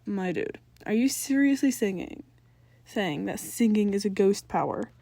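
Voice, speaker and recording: Skeptical teen boy sidekick, voiced by a woman: flat, wary wisecracks, dry recording. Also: mid-range voice, unhurried, creaky, no echo. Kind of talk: acted fan fiction dialogue.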